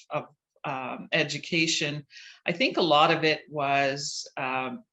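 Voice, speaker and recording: A man's voice: medium pitch, sharp tone. A man from Canada answering interview questions about his career, thoughtful and easygoing, with frequent fillers and restarts. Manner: thoughtful, many fillers and restarts, laughs lightly. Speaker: interview guest, chief IP counsel.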